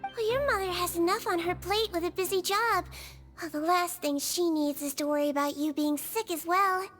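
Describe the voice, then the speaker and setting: A woman voicing a gentle, childlike female Melusine doctor who speaks softly with a calm, slightly sly manner, over faint game music. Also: extremely high pitch, normal speed. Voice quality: sweet, soft-spoken, calmly sly. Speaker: prison doctor.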